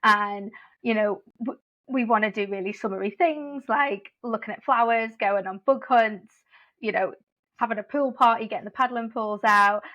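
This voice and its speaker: A woman's voice: on the high side, normal speed, a bit bright, dull audio. A woman, an early years teacher, chatting as a podcast guest and laughing often. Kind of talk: casual podcast chat.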